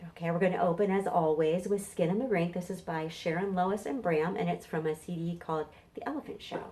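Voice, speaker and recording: A woman's voice: fairly low pitch, brisk, warm tone, recorded from a distance. A woman leading a storytime for babies and toddlers, encouraging and playful, giving tips and chanting sing-song rhymes. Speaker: children's librarian leading storytime.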